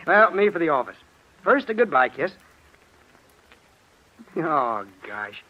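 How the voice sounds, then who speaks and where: An actor playing a cheery, teasing, doting young husband, breezy and jocular, in old-time radio drama style. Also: male voice, a little high, big pitch swings, slow pace, exaggerated voice. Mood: teasing, cheerful. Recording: muffled vintage radio recording.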